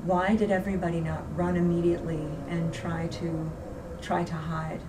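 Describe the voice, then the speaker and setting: A woman interviewer with a somewhat low voice asks gentle, hesitant questions. She sounds set back from the microphone, and hiss runs underneath. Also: little pitch movement, moderate pace, recorded from a distance. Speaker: interviewer host.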